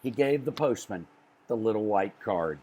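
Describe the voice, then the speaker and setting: A man reading a picture book aloud to children, expressively, with deliberate phrasing and stressed story beats. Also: fairly low pitch, expressive, mellow, breathy edge.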